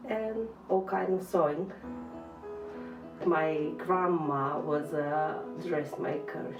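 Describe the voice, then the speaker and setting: A woman from Bulgaria with a Bulgarian accent gives an informal personal account in simple non-native English with short pauses. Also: fairly low pitch. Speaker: dressmaker being interviewed. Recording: roomy, distant mic, music behind.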